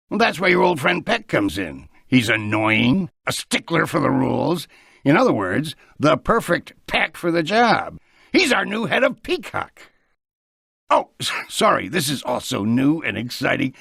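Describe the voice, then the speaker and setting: An actor playing a brash, glib, self-serving city mayor in a video game, with a raspy voice and a theatrical manner. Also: male voice, on the high side, pushed and bright, no echo, clean studio sound, heavily acted. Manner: theatrical, swinging from sly schmoozing to bellowing.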